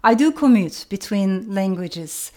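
A middle-aged woman novelist, Turkish as her first language, speaking in a measured, earnest way, repeating phrases for emphasis. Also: medium pitch, animated, dark tone. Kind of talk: reflective talk to camera.

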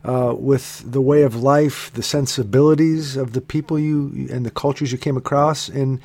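A man hosting a radio interview, asking long measured questions with many fillers and restarts. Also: medium pitch, steady tone, darkish tone, no room sound. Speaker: radio host.

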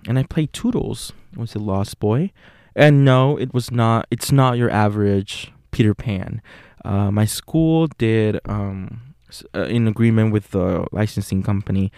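A man's voice: fairly low pitch, vocal fry, darkish tone, smooth, no room sound, clean studio sound. A young man from Puerto Rico, a native Spanish speaker with a Puerto Rican Spanish accent, hosting a solo podcast in an earnest way, with frequent fillers and self-corrections. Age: young adult. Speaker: podcast host.

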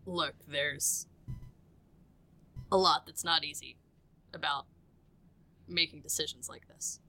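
A woman playing a male park director in an audio drama, measured, compassionate and gently firm. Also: low-pitched, fairly even intonation, exaggerated voice. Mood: grave, compassionate. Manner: deliberate, sighing, gently firm. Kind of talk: scripted audio drama dialogue.

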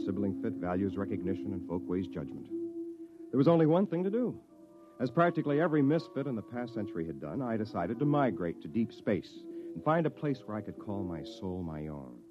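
Earnest young misfit space settler, old radio drama. Chatty, lively intonation, muffled broadcast sound. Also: male voice, lowish voice. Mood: proud, confiding. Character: self-declared misfit young man, proudly eccentric space settler. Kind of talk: 1950s radio drama acting, first-person narration.

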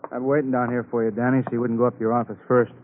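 An actor playing a talkative, needling police colleague with an insinuating manner in an old-time radio crime drama. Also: male voice, medium pitch, little pitch movement, noisy background, natural voice. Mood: needling, grim. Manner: insinuating, drawn-out, repeating questions. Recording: muffled, tinny old radio recording.

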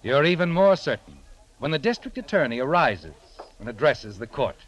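An actor narrating an old-time radio courtroom drama in the second person, with a suspenseful, deliberate manner. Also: male voice, medium pitch, sing-song, dull audio. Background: music.

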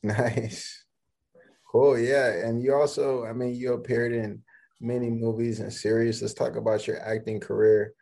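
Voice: mid-range voice, level tone, unhurried, vocal fry, dark tone. An interviewer asking loose, rambling questions with fillers in a casual podcast.

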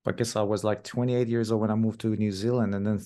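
A man's voice: fairly low pitch, flat delivery, quick pace, dark tone. A man in his thirties from Iran, a native Persian speaker, hosting an interview in a casual, personal and slightly tentative way. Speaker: podcast host. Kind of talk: conversational interview.

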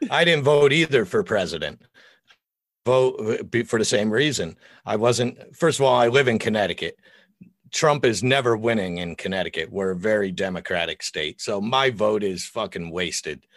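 A middle-aged American man with a deep voice, chatting casually and bluntly on a podcast as an opinionated, foul-mouthed guest. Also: animated, normal speed, fairly bright tone, no echo, studio quality. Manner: opinionated, blunt, crude joking. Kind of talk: casual, profane podcast chat.

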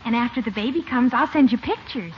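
An actress playing a sweet, reassuring young wife with an affectionate manner in a 1950s radio comedy. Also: female voice, a little high, animated, fairly quick, lightly acted. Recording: muffled, faintly noisy old radio recording.

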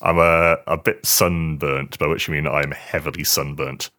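A British man with an extremely low-pitched voice that still comes across bright and forward. He chats casually as a podcast co-host, often stammering and restarting, and puts himself down lightly. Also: level tone, moderate pace. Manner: hesitant, stammering, self-deprecating. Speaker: podcast co-host, hardware journalist. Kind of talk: casual chat.

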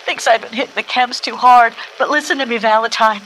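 An actress playing a lounge singer confiding intimately and dramatically in a detective, as a noir radio monologue. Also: female voice, on the high side, steady tone, a little fast, fairly bright tone, natural voice, rough recording. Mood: uneasy, fearful. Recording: recorded tape message with background noise.

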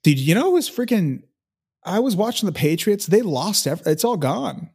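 A man's voice: high voice, sing-song, moderate pace, darkish tone, slightly rough. A man hosting a casual chat, talking in a rambling way full of "like" and "you know". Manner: rambling, filler-heavy, says "dude" and "like".

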